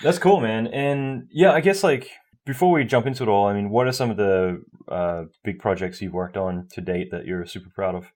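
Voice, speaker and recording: A male podcast host with a somewhat low voice, chatty and joking, who rushes through some phrases. He talks with his guest over a call. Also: a little mellow. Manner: chatty, jokey, talks fast in bursts. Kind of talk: casual interview and promo read. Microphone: call microphone.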